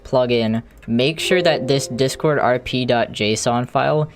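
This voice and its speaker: A streamer thinking aloud in a halting, casual way while doing live coding commentary, with an unclear, mid-range voice. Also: medium pitch. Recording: music playing behind the voice. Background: music.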